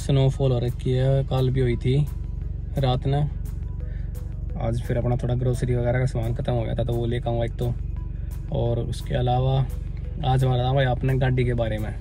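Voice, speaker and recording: A young man from India with a Haryanvi accent, slightly hoarse, chatting casually to the camera outdoors in the snow, explaining things to his viewers as brother. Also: medium pitch, completely flat delivery, normal speed, pauses often, music in the background. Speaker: vlogger. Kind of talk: casual vlog talk to camera. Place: outdoors in snowy weather by his car.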